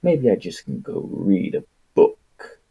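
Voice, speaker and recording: A man's voice: lowish voice, wildly swooping pitch, slow pace, creaky, husky, lo-fi sound, heavily acted. A man voicing an anxious, hopeful young boy thinking to himself in a novel, with a resigned manner.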